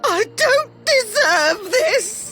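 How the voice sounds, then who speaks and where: A haughty game noblewoman full of scorn and self-pity. Her high, bright voice swoops dramatically as she draws out each insult. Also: very animated, soft background music, heavily acted. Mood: tearful self-pity. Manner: haughty, scornful, drawn-out delivery. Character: spiteful, self-pitying noblewoman berating a despised younger relative. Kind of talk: dramatic video game voice acting.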